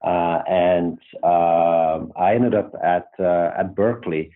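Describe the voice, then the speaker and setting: A man's voice: low-pitched, steady tone, slow pace. A man with a Belgian accent, a startup founder talking casually as a guest, hesitant with many fillers and restarts. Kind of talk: casual interview. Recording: tinny phone-like line.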